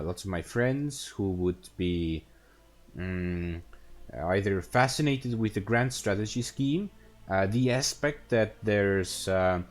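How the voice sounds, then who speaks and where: A young man with an Estonian accent speaks slowly, in a fairly low voice, at a desk microphone. He talks halting and casual, stopping often on fillers and false starts as he streams a strategy game. Faint music plays behind him. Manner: halting, many fillers and restarts. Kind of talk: casual gameplay stream commentary.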